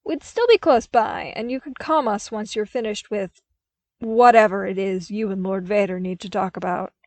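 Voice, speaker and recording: A woman's voice: medium pitch, normal speed, dry recording, lightly acted. A woman puts on a male soldier for an audiobook tale. He is dutiful, firm and respectful, with a drily amused streak. The recording is close and free of room sound. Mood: respectful, hesitant. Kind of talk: audiobook character acting.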